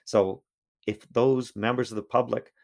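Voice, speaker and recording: A man's voice: medium pitch, steady tone, measured pace, gravelly. A man, a history professor, answering interview questions in a thoughtful way with frequent restarts.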